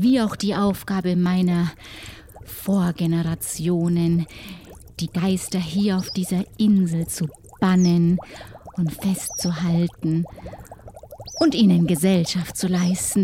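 A woman playing a solitary, mysterious hut dweller who guards pirate ghosts in a tabletop game, speaking deliberately in formal, old-fashioned words. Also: on the low side, dark tone, a little hiss or hum. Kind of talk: improvised tabletop roleplay.

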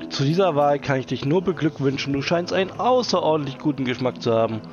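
A man's voice: on the high side, animated, fast. A man playing a friendly, chatty young tavern helper with a salesman's formality in an improvised pirate audio adventure. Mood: flattering. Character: friendly young male tavern helper, eager beer seller. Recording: dull lo-fi voice track under loud music.

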